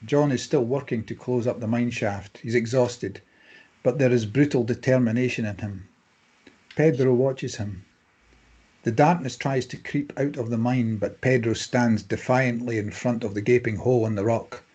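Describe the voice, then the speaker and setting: Husky, mellow man reading stage directions. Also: mid-range voice, normal speed, lo-fi sound. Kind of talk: scripted narration of screenplay directions.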